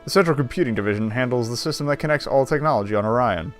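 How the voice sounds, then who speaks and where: A man with a middling pitch reads visual novel narration aloud as a game streamer. He reads expressively and drops in wry, casual asides, with faint music behind him. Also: rapid-fire.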